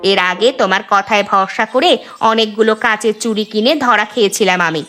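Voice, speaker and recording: A woman voicing a sharp-tongued, suspicious, demanding customer who haggles, in cartoon dialogue. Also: mid-range voice, moderate pace, lightly acted. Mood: suspicious, scolding. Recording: background music under voice.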